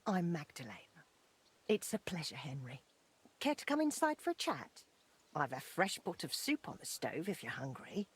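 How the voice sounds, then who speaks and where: An actress playing a kindly, wistful older widow and plain-spoken townswoman with a husky voice in a video game. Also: low-pitched, big pitch swings, moderate pace, exaggerated voice. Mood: kindly, welcoming. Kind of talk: video game dialogue acting.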